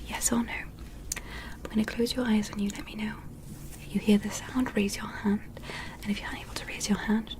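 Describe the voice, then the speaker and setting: A woman voicing a calm, curious alien doctor in a breathy whisper, soothing and methodical, in an ASMR medical roleplay. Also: medium pitch, close to an everyday voice, low-quality recording. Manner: soothing, methodical, softly instructing. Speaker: ASMR performer.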